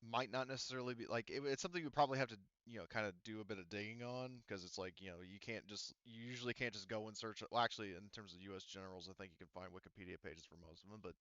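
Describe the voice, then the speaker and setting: Game master, male, low smooth voice, rambling and hesitant, dull voice-chat sound. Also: very fast, hardly any pauses, fairly bright tone, dry recording. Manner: rambling, hesitant, many fillers and restarts. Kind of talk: running a tabletop game over voice chat.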